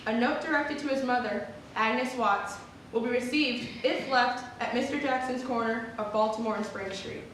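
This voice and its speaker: A woman's voice: a little high, normal speed, fairly bright tone, slight background noise. A woman performing a staged reading of a written notice. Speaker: performer reading historical newspaper ads. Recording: very echoey hall from far off.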